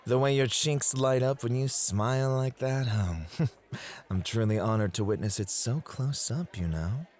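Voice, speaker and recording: A man's voice: mid-range voice, measured pace, darkish tone, close to an everyday voice. A man acting in an ASMR roleplay as a possessive, doting club host with an affectionate, teasing way of talking. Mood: tender.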